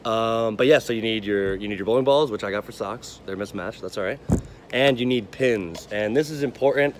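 A man's voice: lowish voice, a little fast. A man in his twenties with an American accent, a coach giving casual instructions to kids on a phone outdoors. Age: 20s. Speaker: sports coach. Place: outdoor backyard. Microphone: phone, at arm's length, then farther away. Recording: outdoor noise, phone video.

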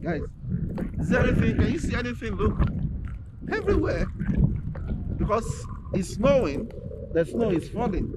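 A man's voice: high-pitched, sing-song, normal speed, long pauses. A man in his thirties from Nigeria, vlogging outdoors in a snowy field with a handheld camera, chatting and exclaiming to his viewers. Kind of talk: casual vlog chat. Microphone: action camera held close. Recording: music bed and wind noise.

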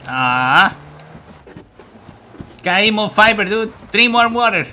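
A man's voice: high-pitched, expressive, takes it slowly, sharp tone, slight background noise. A man from Mexico, a streamer chatting casually and joking while he plays a game. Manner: joking, laughs often. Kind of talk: casual gaming chat.

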